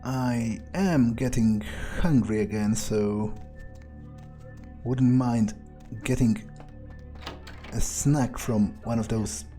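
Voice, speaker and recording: Man playing a slow-talking, cynical vampire. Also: medium pitch, warm tone, soft background music. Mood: impatient, grumbling. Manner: muttering, swearing, thinking aloud. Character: cynical foul-mouthed male vampire, impatient and brooding. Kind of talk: in-character video game playthrough monologue.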